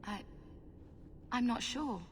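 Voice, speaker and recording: A woman voicing a sardonic, guarded young adventurer in a fantasy video game, with expressive delivery. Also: a little high, slow, in a large hall, slight background noise. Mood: tentative.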